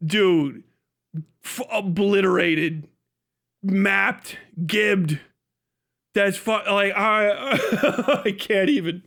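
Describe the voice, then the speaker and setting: High-voiced man, mocking and profane. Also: extremely slow, creaky, pauses often, a bit bright. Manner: mocking, derisive. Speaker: host, livestream commentator. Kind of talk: profane casual reaction commentary.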